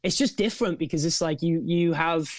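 High-voiced man, earnest and reflective. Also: fairly quick, no echo, clean studio sound. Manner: earnest, reflective, says "you know" and "man" a lot. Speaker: vocalist and songwriter guest. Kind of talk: casual podcast conversation.

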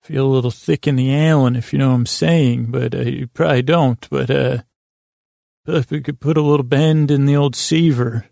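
A man with a creaky voice hosting a podcast, improvising a rambling bedtime monologue, halting and stammering as he repeats words. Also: medium pitch, steady tone, warm tone, no room sound, clean studio sound. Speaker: podcast host.

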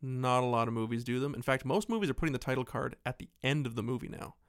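A man co-hosting a podcast, chatting casually about movies and often pausing to search for words. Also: medium pitch, smooth, no room sound, studio quality. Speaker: podcast co-host.

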